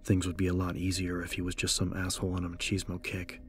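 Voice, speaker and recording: A man's voice: low voice, monotone, fast talker, slight background noise. A man narrating a horror audiobook in a scripted, dramatic style. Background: background music.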